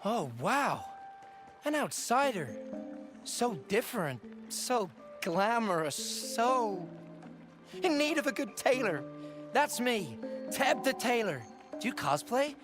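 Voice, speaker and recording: An actor playing a flamboyant, excitable and fussy village tailor in a comic animated game. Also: male voice, very high-pitched, takes it slowly, music in the background, exaggerated voice. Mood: gushing. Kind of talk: animated game comedy acting.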